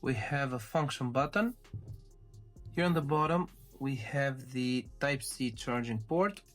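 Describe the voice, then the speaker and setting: A man presenting a casual tech unboxing, explaining things step by step with small hesitant pauses. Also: mid-range voice, a bit bright, faint music behind. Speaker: tech reviewer presenting his channel.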